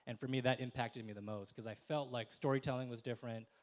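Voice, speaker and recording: A man's voice: medium pitch, steady tone, fast. Reflective man on a discussion panel. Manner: thoughtful, many fillers and restarts. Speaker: panelist, film festival director.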